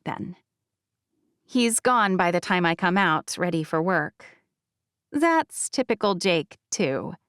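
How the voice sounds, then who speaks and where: A woman narrating a first-person novel as an audiobook, with expressive, wry delivery. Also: mid-range voice, smooth, no room sound, studio quality.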